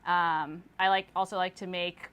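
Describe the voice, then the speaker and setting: A woman's voice: lowish voice, moderate pace, smooth. A young woman artist from the Philippines and Toronto, chatting casually as an interview guest with plenty of "um" and "like". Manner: relaxed, lots of fillers and likes.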